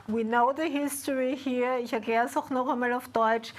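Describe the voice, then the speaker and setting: A woman's voice: a little high, fairly even intonation, normal speed. A woman with an Austrian accent and German as her native language, conducting an interview with hesitant phrasing but firm statements. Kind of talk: interview, switching between two languages.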